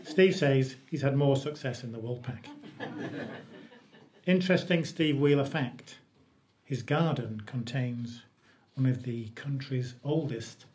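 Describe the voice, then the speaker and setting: A man acts as organiser at a live story night, introducing the next reader. He talks in a dry, joking manner, addressing the event in an ordinary mid-pitched voice. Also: a little mellow, a little hiss or hum. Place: live literary event at an arts venue.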